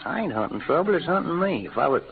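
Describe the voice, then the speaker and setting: A man's voice: a little high, very animated, fast talker, vocal fry. An actor playing an easygoing, modest cowboy gunman with an American Western accent and wry, slangy drawl in an old radio western. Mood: wry, eager. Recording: tinny, noisy old radio recording.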